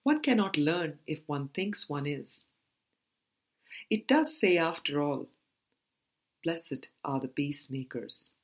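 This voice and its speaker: A woman reading a book aloud as an audiobook narrator, in measured, deliberate phrases. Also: sits low, animated. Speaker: audiobook reader.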